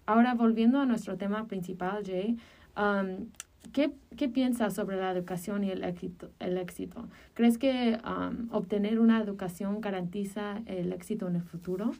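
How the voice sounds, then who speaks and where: Off-mic young female podcast co-host, relaxed mellow timbre, casual delivery with frequent fillers. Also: medium pitch, moderate pace. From Chicago, United States. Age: early 20s. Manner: hesitant, filler-heavy phrasing. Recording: distant from the microphone.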